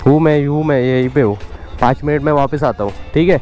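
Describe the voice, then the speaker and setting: A man's voice: medium pitch, a little fast, darkish tone, faint music behind. A young man chatting casually to viewers as a motovlogger, outdoors on a road. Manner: chatty, addresses viewers as guys and brother. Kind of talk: casual vlog chat.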